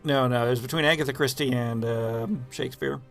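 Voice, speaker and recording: A man narrating an improvised scene as game master of a tabletop horror game, with halting, deliberate descriptive phrasing. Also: medium pitch, steady tone, vocal fry, soft background music.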